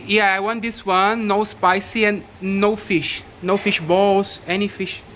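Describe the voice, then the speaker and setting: High-voiced Brazilian man vlogging outdoors. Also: fairly even intonation, takes it slowly, fairly bright tone, a little hiss or hum. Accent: Brazilian Portuguese. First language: Portuguese. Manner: chatty, addresses viewers directly. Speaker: travel vlogger. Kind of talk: casual travel vlog. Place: outdoors in a city street. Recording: outdoor vlog with music behind. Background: music.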